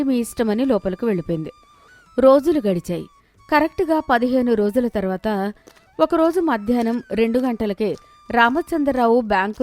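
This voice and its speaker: A woman's voice: a little high, darkish tone. A woman reading scripted narration for a moral story as its storyteller.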